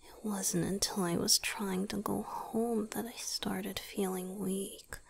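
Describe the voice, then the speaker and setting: A woman voicing a weary, tender, protective young male vampire boyfriend in a hushed, breathy ASMR roleplay, close to the microphone. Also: medium pitch, flat delivery, slight background noise. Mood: weary, reassuring. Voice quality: breathy, whispery. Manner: slow, hushed, intimate delivery with long pauses. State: weakened, worn out. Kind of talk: whispered ASMR romantic roleplay.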